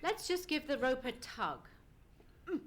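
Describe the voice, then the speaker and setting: A woman playing a keen, methodical male detective in an old-time radio play, musing and deliberate, humming as she ponders. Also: high-pitched, sing-song, noisy background. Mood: musing, intrigued. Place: live theatre stage performance.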